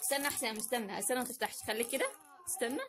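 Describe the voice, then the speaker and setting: A woman with an Egyptian Arabic accent chatting casually as host of an unboxing vlog, repeating phrases for emphasis in an animated way. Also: a little high, very fast. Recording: noisy home recording, crinkling packets.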